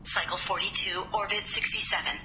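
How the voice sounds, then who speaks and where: A woman's voice: medium pitch, steady tone, brisk, sharp tone. A woman voicing a shy, infatuated young artist recording her private diary in a video game. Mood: dreamy, self-doubting. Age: young adult. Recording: echoey playback with background noise.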